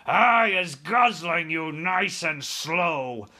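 A man's voice: on the high side, animated, pushed and bright, heavily acted. A man voicing a huge man-eating giant from a children's storybook, bullying and bellowing in broken grammar. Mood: gloating menace. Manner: bellowing, ungrammatical drawn-out speech.

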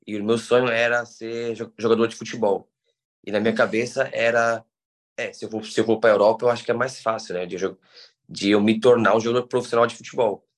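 Low-voiced man from São Paulo. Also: level tone, brisk. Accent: São Paulo Brazilian Portuguese. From Brazil. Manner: hesitant, restarts words, explains patiently. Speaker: guest. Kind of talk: conversational interview.

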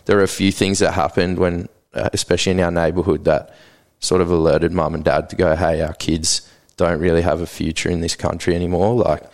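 Very deep-voiced, flat-toned man, rambling casually. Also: brisk, few pauses. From South Africa. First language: Afrikaans. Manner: relaxed, rambling, heavy on fillers like "like" and "sorta". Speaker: podcast guest. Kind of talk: casual conversational interview.

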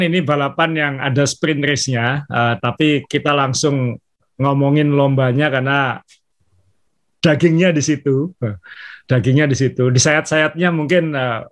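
A man co-hosting a casual podcast, giving a measured race analysis with frequent "eh" fillers. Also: medium pitch. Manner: measured, fills pauses with "eh". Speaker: podcast co-host, racing analyst.

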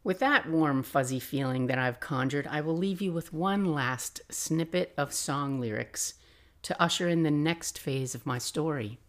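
A woman in her sixties narrating personal memories as a podcast host, reflective and expressive with wry asides. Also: sits low, measured pace. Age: 60s. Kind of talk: personal memoir storytelling, scripted narration.

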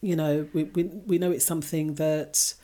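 A woman's voice: deep voice, steady tone, moderate pace, warm tone. A woman from England, co-hosting a casual discussion and speaking reflectively, addressing her listeners directly. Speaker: podcast co-host.

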